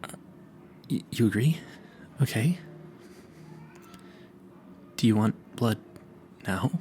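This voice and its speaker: A man's voice: on the low side, expressive, a little mellow, a little hiss or hum. A man playing a nervous, smitten and clueless vampire hunter in an audio roleplay, stammering and correcting himself as he speaks to the listener. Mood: flustered, earnest. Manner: flustered, stammering, halting and self-correcting.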